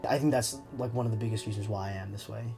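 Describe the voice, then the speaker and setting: A man's voice: lowish voice, very fast, gravelly, dark tone, faint music behind. A young man speaking candidly and reflectively in an interview, often hesitating as he searches for his words. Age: young adult. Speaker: interview subject.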